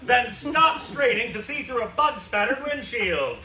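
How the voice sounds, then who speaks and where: A polished 1940s radio announcer acted by a man declaims a sales pitch. His voice is bright and deliberate, picked up from far off in an echoey hall. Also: lively intonation, exaggerated voice.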